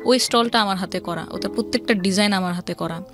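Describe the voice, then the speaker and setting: A woman's voice: mid-range voice, fast, over music. A woman with a Bangladeshi accent telling her story earnestly as an interview guest, stressing by repetition and mixing in English words. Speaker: interview guest, event planner.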